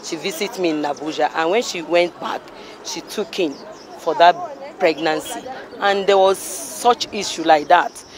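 A woman's voice: lowish voice, measured pace, crowd noise. A middle-aged Nigerian woman answering a street interview, telling a story earnestly with stops and restarts into a handheld mic. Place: busy city street outdoors. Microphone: handheld mic held by interviewer. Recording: street traffic noise.

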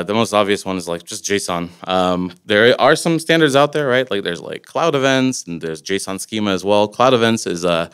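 A man's voice: fairly low pitch, fairly bright tone, clean tone. A man in his forties from Latvia, giving a casual technical conference talk with loose, rambling phrasing and many fillers. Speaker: conference speaker. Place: conference room, indoors. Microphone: podium microphone.

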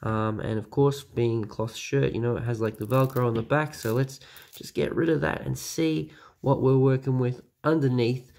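A young man with a stuffy, nasal voice from a cold, chatting excitedly with many fillers as he reviews toys at home. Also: medium pitch, normal speed, vocal fry. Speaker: toy reviewer. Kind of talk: casual review vlog. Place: small room at home.

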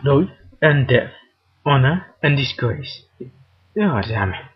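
Slow, solemn man on a muffled recording. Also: medium pitch, expressive, breathy edge. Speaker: amateur fandub voice actor. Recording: dull lo-fi recording under loud music.

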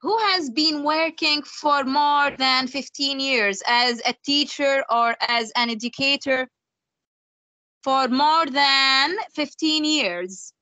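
A woman whose first language is Arabic, presenting a webinar and leading an icebreaker, speaking slowly with many fillers over a video call. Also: high-pitched, bright, forceful tone, no room sound, low-quality recording. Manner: slow, hesitant, with many fillers.

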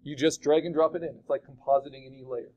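A man teaching a digital art class, chatting casually and asking his students questions as he demos the software. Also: a little high, fairly even intonation, fast talker, muffled recording. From California. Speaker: art instructor.

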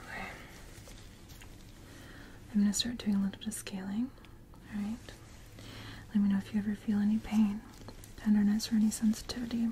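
A woman softly whispering in a breathy, gentle, reassuring way, as a caring dental hygienist in an ASMR roleplay. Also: medium pitch, level tone, slight background noise. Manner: gentle, reassuring, unhurried personal attention. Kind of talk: soft-spoken ASMR roleplay.

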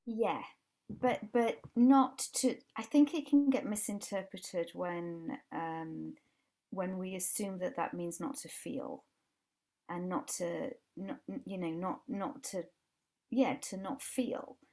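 Woman, Yorkshire accent, forties, earnest, restarts, gravelly, video call. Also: fairly low pitch, takes it slowly, mellow. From England. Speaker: life coach guest. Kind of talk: casual podcast chat. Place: home room with brick wall.

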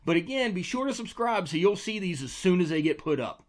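A man's voice: high voice, animated, brisk. An American man in his forties hosting a casual product review to camera in an informal, conversational way. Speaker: host, fishing lure reviewer. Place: small indoor room with tackle-covered walls. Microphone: desk microphone beside him.

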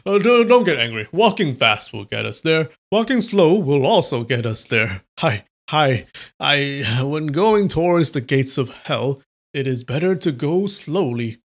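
A man voicing a rowdy male swordsman who plays drunk, with loud, slurring, boastful bluster. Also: fairly high pitch, expressive, unhurried. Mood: wheezing sly.

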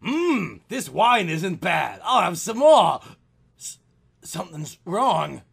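A man's voice: sits high, sing-song, slow, gravelly, fairly bright tone, husky, heavily acted. A man voicing a theatrical, ghoulish male corpse in a horror comic reading. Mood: panicked, pleading. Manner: theatrical, melodramatic. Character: gleeful ghoulish male corpse turned pleading victim.

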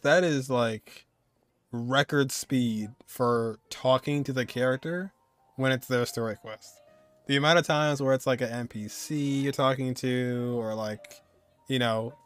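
A man's voice: mid-range voice, moderate pace, faint music behind. A man in his twenties giving casual, joking, slangy reaction commentary as he streams a video game. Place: indoors, streaming at a desk.

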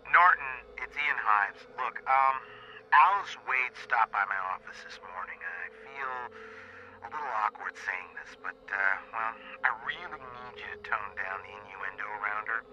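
A office manager leaves an awkward, exasperated voicemail. His lowish voice is very sharp and bright, with faint noise on the recording. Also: moderate pace, muffled recording. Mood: awkward, admonishing. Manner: hesitant, awkward. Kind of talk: voicemail message.

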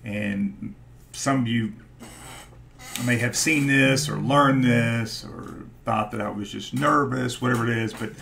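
A man in his forties with a stutter, talking casually to camera in a halting way, searching for his words. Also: medium pitch, steady tone, vocal fry, a bit bright, slight background noise. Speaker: YouTube host, stock investor. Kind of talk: casual vlog talk to camera. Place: small home office, indoors.

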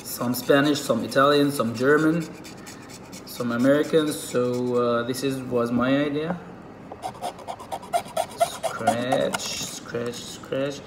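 A man from Egypt with an Arabic accent, whose first language is Arabic. He speaks in a casual, halting way with many fillers, demonstrating something at a table indoors. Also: medium pitch, steady tone, echoey room, noisy background. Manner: halting, with frequent fillers and restarts. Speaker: reptile keeper.

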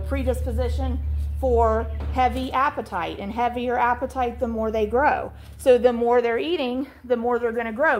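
Woman, fairly high voice, patient explanations, filming on a phone in a barn. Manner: explanatory, talks listeners through the reasoning with rhetorical questions. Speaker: poultry farmer. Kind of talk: instructional farm walkthrough. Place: indoor chicken barn. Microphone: handheld phone camera.

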